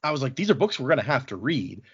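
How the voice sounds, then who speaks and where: A man's voice: medium pitch, very animated, fast, a bit bright, husky, dull audio. A man chatting casually as a podcast host.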